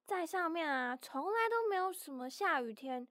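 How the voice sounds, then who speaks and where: A woman's voice: extremely high pitch, lively intonation, normal speed, a bit bright, no echo, clean studio sound. A woman voicing a small, naive young mouse with a childlike, innocent and emotional way of talking in a children's audio story. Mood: homesick.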